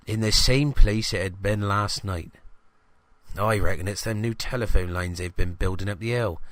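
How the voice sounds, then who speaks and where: A man's voice: lowish voice, a bit bright, no echo. A man voicing an older, paranoid village greengrocer in a comic audio drama, chatty and emphatic, with a rustic dialect.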